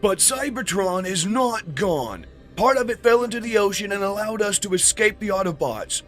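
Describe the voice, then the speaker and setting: A man's voice: sits high, animated, moderate pace, a bit bright, soft background music, pitched above the performer's own voice. A man voicing an uneasy robot jet soldier who questions and protests, in a comic dub. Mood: pleading. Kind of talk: comic dub character acting.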